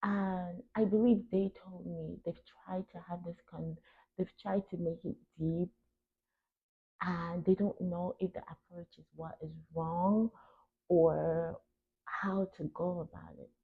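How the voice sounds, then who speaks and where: A young adult woman from Cameroon talks reflectively and earnestly as a podcast host, stressing her points and pausing between short phrases. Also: fairly low pitch, steady tone, gravelly, breathy edge. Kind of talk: reflective personal talk. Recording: tinny phone-like narrow band.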